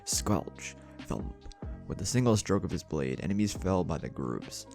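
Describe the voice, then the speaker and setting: A man's voice: sits low, lively intonation, a little slow, creaky, a little mellow, soft background music. A man narrating a scripted action story in a dramatic storytelling style.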